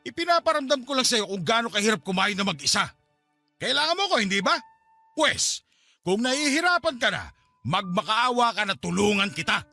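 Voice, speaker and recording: Sneering, gravelly abusive husband. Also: male voice, high-pitched, lively intonation, a little fast, bright, forceful tone, faint music behind, full character voice. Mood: threatening. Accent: Filipino. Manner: taunting, sneering laughs between lines. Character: lazy, menacing, abusive husband, sneering and proud. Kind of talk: emotional Filipino radio drama acting.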